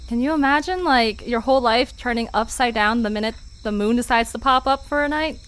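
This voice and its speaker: A woman's voice: fairly high pitch, fairly quick, fairly bright tone, clean tone, lightly acted, low-quality recording. A woman playing a curious, easily flustered visitor in an audio drama, full of anxious questions. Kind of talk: fiction podcast audio drama acting.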